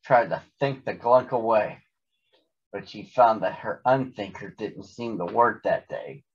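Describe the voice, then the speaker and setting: A man's voice: fairly low pitch, unhurried, husky. A man in his thirties reading a story aloud for children, recorded at home in a small room. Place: small bedroom at home, indoors. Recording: slightly dull, muffled home recording.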